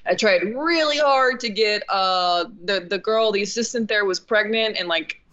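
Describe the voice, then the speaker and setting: A woman's voice: mid-range voice, normal speed, fairly bright tone, highs cut off. A woman film director as an interview guest, talking candidly and casually, swearing now and then and restarting sentences.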